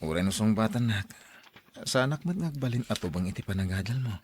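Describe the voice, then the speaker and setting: A man's voice: on the low side, expressive, quick pace, a little mellow, slightly rough. An actor playing a lovestruck young man, an eager and generous suitor, in a radio drama. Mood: wooing, reassuring.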